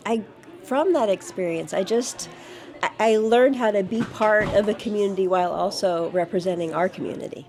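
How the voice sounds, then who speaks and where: A woman's voice: mid-range voice, darkish tone. A woman in her fifties from the United States answering interview questions as a city council candidate, earnest, with frequent fillers and restarts. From United States, grew up in Georgia.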